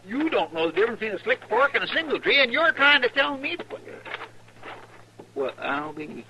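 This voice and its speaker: An actor playing a garrulous, curious cowboy sidekick with an excitable rural Western American drawl in an old radio western. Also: male voice, extremely high pitch, brisk, exaggerated voice, rough recording. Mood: flustered. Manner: folksy, excitable, hesitant. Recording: hissy old radio broadcast.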